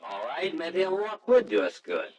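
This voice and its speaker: An actor playing a bashful but brash young reporter and eager suitor in an old-time radio drama, speaking haltingly. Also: male voice, fairly high pitch, huge pitch swings, a crowd in the background. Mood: brash, hopeful. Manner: hesitant, halting.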